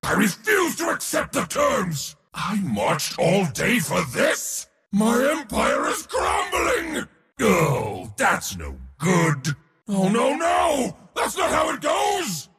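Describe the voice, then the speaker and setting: An actor voicing a proud, pompous god of war for a video game, with a theatrical, commanding military delivery. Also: male voice, sits high, huge pitch swings, takes it slowly, creaky, fairly bright tone, shouting, long reverb tail, heavily acted. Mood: outraged. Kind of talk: video game hero voice lines.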